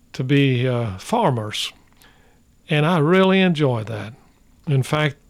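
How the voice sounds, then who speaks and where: An older man from Norway, a radio guest, telling his life story in a slow, halting way with many pauses. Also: medium pitch. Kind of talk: reflective radio conversation.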